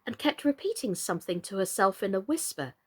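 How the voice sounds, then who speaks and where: A woman reading a children's story as an expressive narrator. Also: medium pitch, brisk, husky. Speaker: storyteller and reader.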